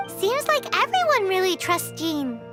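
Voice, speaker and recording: A woman's voice: sing-song, unhurried, exaggerated voice. A woman voicing a tiny, chatty, teasing girl companion who refers to herself by name, in a video game with light music behind. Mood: curious. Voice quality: high childlike squeaky voice.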